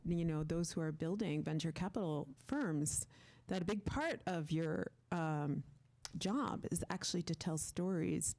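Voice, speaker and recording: A woman hosting an onstage interview, speaking conversationally and warmly agreeing with her guest. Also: low-pitched. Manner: conversational, agreeing with brief affirmations. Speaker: host, interviewer.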